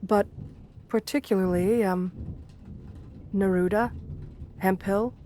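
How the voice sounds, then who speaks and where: Woman as a slow-spoken, shy gargoyle girl. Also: mid-range voice, steady tone, warm tone, slight background noise, close to an everyday voice. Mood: gentle, wistful. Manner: hesitant, polite, old-fashioned formal diction. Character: shy, lonely, bookish female gargoyle, sweet and gentle. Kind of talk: romantic ASMR audio roleplay.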